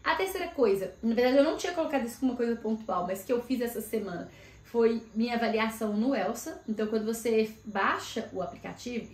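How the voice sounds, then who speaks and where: A woman in casual chat, vlogging and telling about her week with lively asides. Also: medium pitch. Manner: chatty, animated storytelling with frequent asides. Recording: echoey room.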